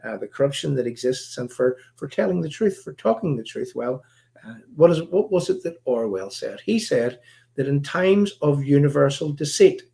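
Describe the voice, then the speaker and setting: A man with a Northern Irish accent hosting a live stream, talking in a halting, rambling way full of fillers, his voice croaky. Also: fairly high pitch, expressive, warm tone. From Northern Ireland. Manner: halting, many fillers and restarts. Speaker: livestream host.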